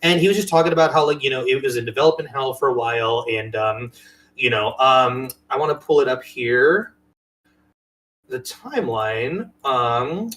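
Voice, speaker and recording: A man's voice: mid-range voice, normal speed, fairly bright tone. A man hosting a casual podcast chat about games and movies, with a playful, jokey way of talking. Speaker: podcast host.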